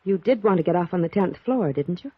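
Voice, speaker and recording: Brisk, husky young heiress. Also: female voice, lowish voice, animated, dry recording. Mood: puzzled, impatient. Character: sophisticated young city heiress, puzzled and brisk. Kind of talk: 1950s radio drama acting. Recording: muffled, rough vintage radio recording.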